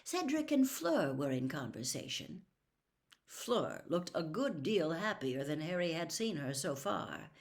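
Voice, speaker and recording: A woman reading a storybook aloud as an expressive narrator. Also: deep voice, unhurried. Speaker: audiobook reader.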